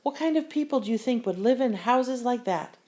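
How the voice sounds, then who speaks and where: A woman voicing a brash, excitable schoolboy with blunt, emphatic delivery in a children's storybook. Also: a little high, fairly quick, pitched above the performer's own voice, close to an everyday voice. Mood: amazed.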